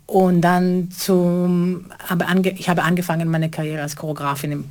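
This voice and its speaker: A middle-aged woman from Israel, a choreographer answering interview questions in halting, German. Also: fairly low pitch, little pitch movement, darkish tone. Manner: halting, non-native grammar, earnest. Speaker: choreographer and theater co-founder.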